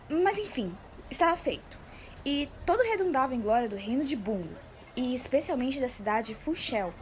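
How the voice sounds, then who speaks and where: Casting: young Brazilian woman as male companion in a radio play, high voice, declamatory storytelling, slightly noisy recording. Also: a little mellow, natural voice. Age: teenager or young adult. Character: male interpreter friend relaying boastful orators' grand claims. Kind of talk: student radio drama of literary classic. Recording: faint background noise.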